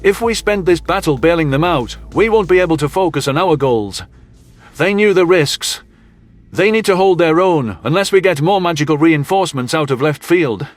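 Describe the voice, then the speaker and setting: Man as a firm, higher-voiced human officer. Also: animated, moderate pace, soft background music, close to an everyday voice. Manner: firm, blunt. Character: resolute human military officer, hard-nosed, pragmatic. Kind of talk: audio drama acting.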